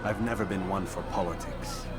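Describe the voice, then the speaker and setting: Man voicing stern young male assassin, low voice, terse and guarded, music behind. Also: fairly even intonation, normal speed, a loud crowd, natural voice. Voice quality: clipped, guarded.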